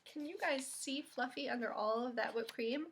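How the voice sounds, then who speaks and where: A woman reading a picture book aloud to young children as a library storyteller, expressive and pausing to ask them questions. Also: sits high, slight background noise.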